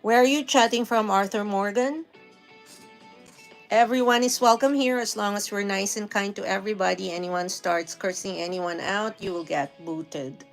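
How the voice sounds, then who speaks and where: A woman's voice: mid-range voice, moderate pace, gravelly, soft background music, poor audio. A middle-aged woman with a Filipino accent, hosting a casual live stream and chatting with viewers, laughing often. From Philippines. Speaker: livestream host. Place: home in New York.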